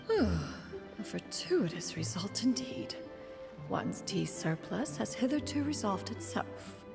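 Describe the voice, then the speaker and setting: A woman's voice: medium pitch, sing-song, takes it slowly, gravelly, over music, exaggerated voice. A woman playing a proud, ancient female immortal in a video game, speaking in a lofty, archaic, formal manner. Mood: dignified, haughty. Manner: haughty, archaic, formal, calls herself "one".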